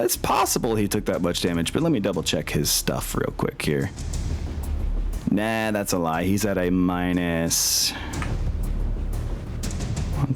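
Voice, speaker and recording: A man speaking as a game master, casually narrating a tabletop game in informal, sweary, playful slang. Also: lowish voice.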